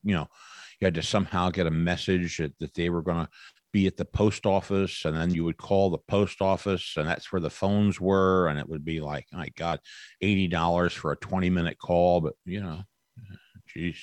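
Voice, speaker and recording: A folksy man in his sixties talks as a guest on a podcast, rambling along in an upbeat, casual way. His voice sits on the low side, and the sound is dry and close. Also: fairly even intonation, moderate pace, no room sound. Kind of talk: casual chat.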